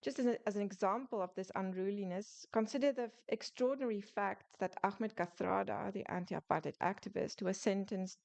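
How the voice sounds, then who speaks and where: A woman author presenting her book in a prepared academic lecture, reading in a measured way with small restarts. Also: mid-range voice, fairly even intonation, vocal fry, a little mellow. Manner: measured, reads closely from a script with small restarts.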